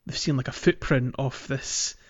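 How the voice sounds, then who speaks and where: A man's voice: mid-range voice, steady tone, moderate pace, husky, no echo, muffled recording. A man co-hosting a podcast, chatting casually about a game in a hesitant, meandering way. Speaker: podcast host. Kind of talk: casual game playthrough chat.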